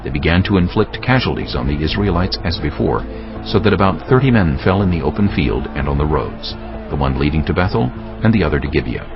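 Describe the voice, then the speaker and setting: A man's voice: a bass voice, expressive, a little fast, vocal fry, dull audio, music in the background. A man narrating dramatized scripture as a solemn storyteller.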